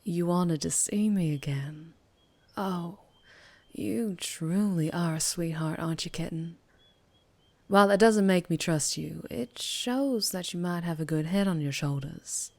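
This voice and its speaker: Woman acting as female vampire biker, Southern US accent, low-pitched, sultry, wary, slipping into whispers, close dry ASMR sound. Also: darkish tone, no room sound. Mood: flirtatious, ominous. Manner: slow, low, teasing, lapsing into breathy whispers. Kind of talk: ASMR roleplay, scripted character acting.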